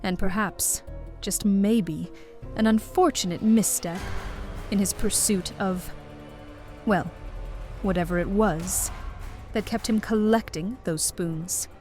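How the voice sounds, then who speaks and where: A woman playing a deadpan, oblivious, quirky police detective in a comedic audio drama, speaking matter-of-factly. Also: medium pitch, slow pace, soft background music, lightly acted. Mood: clinical, matter-of-fact.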